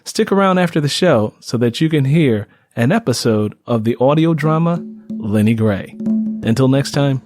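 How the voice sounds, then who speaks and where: A man's voice: medium pitch, animated, normal speed, a little mellow, faint music behind. A man hosting a radio-style interview show in a conversational way.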